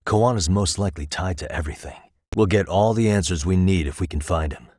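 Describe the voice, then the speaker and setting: A calm private detective in a video game, with a low voice and a close, dry sound. He speaks in a measured, methodical way, as if reasoning through each point aloud. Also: dry recording. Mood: pensive, focused. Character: calm, analytical male private detective, former lawyer. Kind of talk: English video game voice acting, conversational dialogue.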